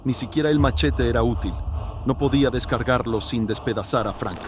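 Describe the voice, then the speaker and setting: A man voicing an anguished, horrified man in a horror audiobook, confessing a killing in a hoarse, intense, dramatic way. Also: medium pitch, fairly quick, background noise, natural voice. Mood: horrified, desperate.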